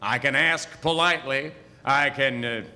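Bright-voiced folksy old wizard, hall reverb. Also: male voice, mid-range voice, normal speed. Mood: wry, easygoing. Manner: folksy, hesitant, drawling asides. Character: wry, easygoing old wizard man with folksy wisdom. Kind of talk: live audio theatre comedy acting. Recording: hall reverb of live stage performance.

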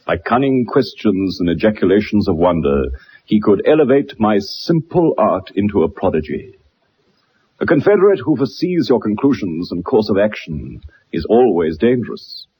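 A brilliant gentleman detective in a radio play. He lectures in formal, methodical phrases with a very lively, swooping delivery and a slightly husky edge. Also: fairly low pitch, normal speed, heavily acted. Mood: wry, wistful. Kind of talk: radio drama acting.

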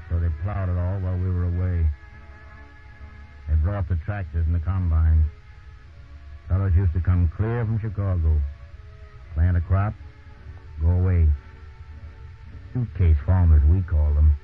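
Radio play: a worn-down Depression farmer, low and plainspoken, bitter, on a muffled vintage recording. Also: male voice. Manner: plain folksy storytelling in short phrases. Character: weary displaced Depression farmer, adult man, bitter, plainspoken. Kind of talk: 1930s radio drama acting, monologue.

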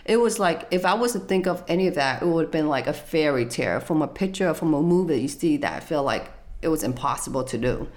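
A young woman with a low, soft voice tells her story in an interview. She talks hesitantly and pauses often with fillers. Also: expressive. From United States. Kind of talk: personal life story interview.

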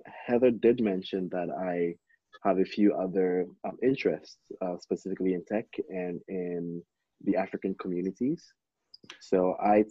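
A man from Ghana with a husky voice, speaking as a podcast guest in a measured, hesitant conversational way. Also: deep voice, dry recording. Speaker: podcast guest, startup CTO. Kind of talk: conversational self-introduction. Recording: dull lo-fi, dry recording.

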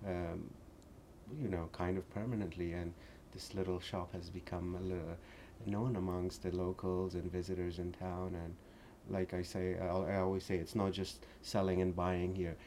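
A man in his forties from Afghanistan, speaking as an interview guest in an earnest, halting way with many restarts. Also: sits low, flat delivery, mellow, slight background noise. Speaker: shop owner, guest. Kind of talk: interview conversation.

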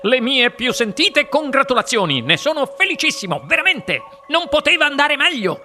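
An eccentric male chocolate maker from a storybook, acted out by a reader, bursting with glee. The pitch sits high and leaps about as he gushes and exclaims. There is clear noise in the recording. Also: normal speed, exaggerated voice. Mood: overjoyed, urging. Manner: gushing, exclamatory, breathlessly excitable. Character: exuberant, eccentric, gleeful chocolate factory owner, man.

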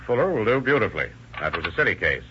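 A man's voice: lowish voice, very animated, a little hiss or hum. A man acting a shrewd, authoritative county sheriff in an old radio drama, with the dull sound of an old radio recording. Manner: measured, authoritative.

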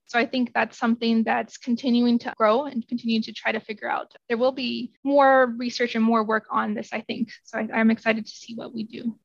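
A woman's voice: fairly high pitch, flat delivery, brisk, gravelly, hardly any pauses, no echo, muffled recording. A woman genetic counselor answering interview questions in an earnest way, often hedging with "I think".

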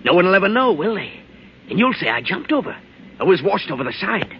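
Paranoid, wildly swinging husband, old radio. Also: male voice, high-pitched, sing-song, a little fast. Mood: accusing, agitated. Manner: agitated, suspicious, accusing. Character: paranoid, unstable husband, hard drinker, fearing murder. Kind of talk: old-time radio drama acting. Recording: noisy, muffled old radio recording.

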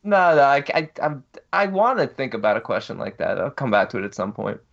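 A man's voice: mid-range voice, very animated, a little fast, gravelly, fairly bright tone, dull audio. A man hosting a casual podcast, reading out listener questions and laughing easily. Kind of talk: casual chat.

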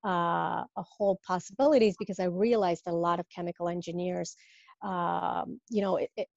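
A woman's voice: lowish voice, steady tone, normal speed, vocal fry, no echo, dull audio. A woman in her fifties from Mexico, a business coach, talking through her career in a casual interview with many fillers and restarts.

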